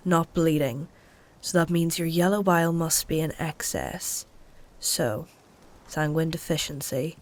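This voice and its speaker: Fantasy healer: weary woman, lower voice, dry wit, matter-of-fact delivery. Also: full character voice. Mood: brisk, puzzled. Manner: wry, coaxing, matter-of-fact. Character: weary, dry-witted woman healer tending a dying stranger. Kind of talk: dark fantasy audio drama, narration and dialogue.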